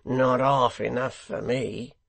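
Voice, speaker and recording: A hoarse, growling hangman from a storybook, performed by a male narrator. He talks slowly in coarse, familiar, ungrammatical speech, sly and eager for mischief. The sound is dry and close. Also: mid-range voice, animated, dry recording, heavily acted. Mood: grumbling. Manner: cockney-style dropped grammar, chuckling familiarity.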